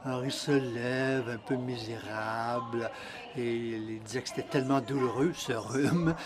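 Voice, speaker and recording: A man introducing a speaker and then interpreting her talk, relaying it in the first person in a measured, even way. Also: medium pitch, noisy background. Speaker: interpreter and emcee. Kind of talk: live interpretation of a seminar talk.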